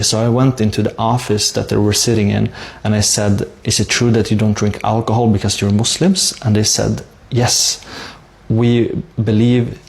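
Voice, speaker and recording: A man with a Swedish accent shares a personal story. His voice sits fairly low, with a heavy creak in it. He stays measured and earnest throughout, keeping the melody very level. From Sweden. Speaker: speaker sharing a personal conversion story.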